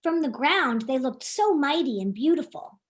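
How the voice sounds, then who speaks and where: A woman reading a children's book aloud in an expressive, playful storytime style, chatting to young listeners as she goes. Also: high-pitched, moderate pace. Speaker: author reading her own children's book.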